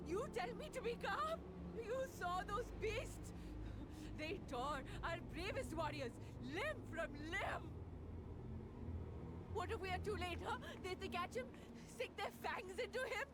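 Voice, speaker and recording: An elderly game townswoman with a very high voice over loud background noise. She is a frantic grandmother, pleading and halting, and she speaks slowly. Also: animated. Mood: hysterical dread. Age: older. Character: frantic grieving grandmother, frail anxious townswoman. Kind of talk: dramatic video game voice acting.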